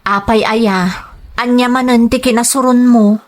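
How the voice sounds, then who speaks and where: Actress as poor young wife, nagging and questioning, higher-pitched delivery, audio drama. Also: female voice, steady tone, a little slow, lightly acted. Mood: exasperated, questioning. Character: exasperated, pleading young wife in a poor household. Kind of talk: serial audio drama acting.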